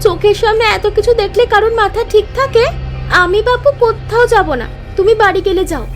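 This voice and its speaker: A woman's voice: extremely high pitch, a little fast, soft background music. A woman voicing a greedy, stubborn young wife in a cartoon, with a scolding, dismissive manner. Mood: scornful. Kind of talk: cartoon voice acting.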